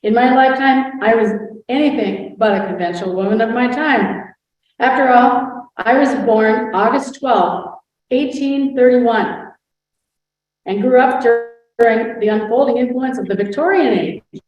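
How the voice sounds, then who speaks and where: A woman's voice: on the high side, a little slow, lightly acted. A woman playing a proud, witty 19th-century noblewoman in a scripted first-person portrayal, with a grand storytelling delivery, recorded far from the mic in a very echoey hall. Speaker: historical impersonator. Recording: roomy hall sound, far from the mic, dull.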